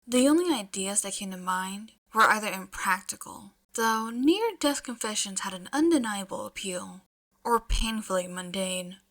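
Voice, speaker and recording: A woman reading fiction aloud as an expressive audiobook-style narrator. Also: medium pitch, normal speed. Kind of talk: expressive storytelling narration with casual asides.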